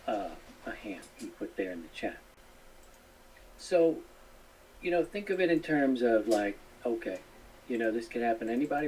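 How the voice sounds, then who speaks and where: A man's voice: mid-range voice, a little mellow. A man talking casually on a live stream in an earnest, rambling, repetitive way. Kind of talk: casual live stream talk, appealing to fellow hams. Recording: distant mic with faint noise.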